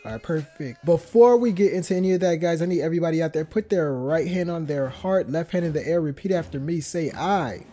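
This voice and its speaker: A man's voice: on the high side, fairly quick, few pauses, warm tone, soft background music. A man hosting a podcast in casual chat, a bit stuffed up from a cold. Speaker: podcast host.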